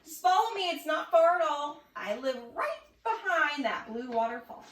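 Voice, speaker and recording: Woman playing a gentle Bigfoot, lilting and echoey. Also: sits high, big pitch swings, normal speed, pitched above the performer's own voice. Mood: welcoming. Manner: soothing, kindly, polite. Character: gentle, lonely, hospitable male Bigfoot. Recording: echoey room, distant mic.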